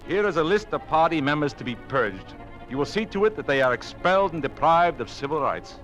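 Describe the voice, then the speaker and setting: An actor playing a cold, humorless Soviet marshal in an old-time radio drama, giving orders in an imperious, formal, clipped manner. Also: male voice, on the high side, animated, fairly quick, loud music behind the voice, lightly acted. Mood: brisk command.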